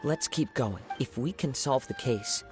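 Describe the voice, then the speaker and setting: A man voicing a calm, analytical young male investigator in a video game, speaking in a measured, formal, deductive manner. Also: mid-range voice, lightly acted. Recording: game voice with music behind. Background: game background music.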